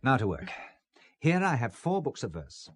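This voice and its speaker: An actor playing a shrewd, determined amateur investigator in an audio drama, assured and probing with a dry wit. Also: male voice, mid-range voice, sing-song, moderate pace, dry recording. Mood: brisk, purposeful. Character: shrewd, determined amateur investigator, calm and dryly witty man. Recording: faint music behind the voice. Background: faint music.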